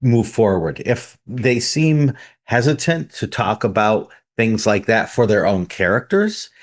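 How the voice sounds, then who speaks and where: A man's voice: fairly low pitch, sing-song, a little slow. A man co-hosting a tabletop gaming podcast, methodically laying out his points one by one in casual discussion. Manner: methodical, lays out numbered points. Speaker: podcast co-host.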